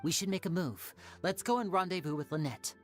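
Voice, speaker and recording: Light youthful young man, acted by a man, composed, polite, articulate, video game magician, soft music underneath. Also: high voice, brisk, lightly acted. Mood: intrigued, calm. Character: suave, composed young male magician turned investigator. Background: background music.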